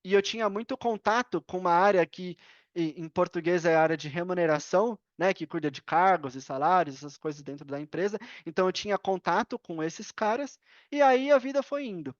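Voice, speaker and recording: A man's voice: sits high, a little fast, dry recording. A Brazilian man telling personal stories as a podcast guest, informal and slangy, acting out bits of dialogue. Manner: informal, slangy, animated retelling with acted-out dialogue. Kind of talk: casual personal storytelling. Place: indoors at a computer on a video call. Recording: slightly dull, dry online-call audio.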